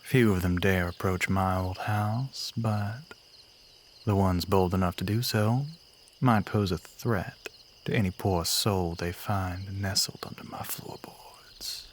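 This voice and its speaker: A man playing a courtly, softly menacing Southern vampire in an intimate audio roleplay, speaking softly and breathily, often near a whisper, with a Southern US accent. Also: low-pitched, fairly even intonation, slow, a little hiss or hum. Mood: coaxing, gentle.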